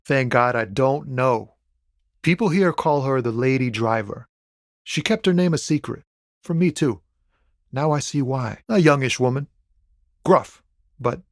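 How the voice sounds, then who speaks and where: Casting: a man to play a game's laid-back lorry driver. Medium pitch, casual and earnest, streetwise, halting when pressed to betray a friend; soulful, loyal. Dry recording. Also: no echo, close to an everyday voice. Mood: guarded, regretful. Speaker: video game voice actor. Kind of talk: conversational video game character dialogue.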